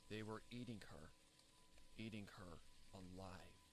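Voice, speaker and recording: Low-voiced man reading a dark story. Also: unhurried, a little hiss or hum. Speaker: fanfiction narrator. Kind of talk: dramatic horror story reading.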